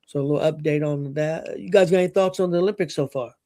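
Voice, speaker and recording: An easygoing man co-hosting a sports chat, hesitant and full of fillers as he passes along updates. His voice is slightly high and sounds dry, with no room echo. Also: fast, mellow. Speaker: co-host.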